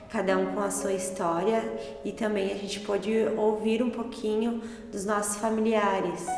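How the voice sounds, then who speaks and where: A woman's voice: mid-range voice, darkish tone. A woman in her thirties with a southern Brazilian accent, telling things casually as a student interviewee. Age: 30s. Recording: echoey hall, music underneath.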